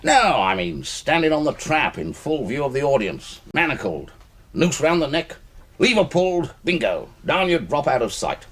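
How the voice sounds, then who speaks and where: A pompous old British colonel for radio drama. His voice is husky and sweeps widely up and down as he needles his guests in clipped, old-fashioned phrases. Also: fairly high pitch, sing-song, moderate pace, fairly bright tone, heavily acted. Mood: hearty, theatrical. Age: older.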